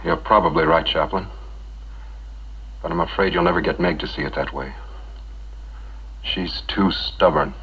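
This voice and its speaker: Casting: guarded young new father, deep and husky, troubled, vintage drama. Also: normal speed, pauses often, a bit bright, full character voice. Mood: troubled, resigned. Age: young adult. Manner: guarded, clipped, defensive. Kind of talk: 1960s educational film acting. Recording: dull 1960s film soundtrack.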